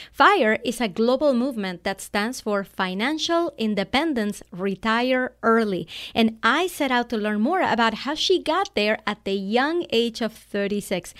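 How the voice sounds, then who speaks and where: Upbeat high-voiced woman podcast host. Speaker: podcast host and business coach. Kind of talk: scripted intro then interview chat.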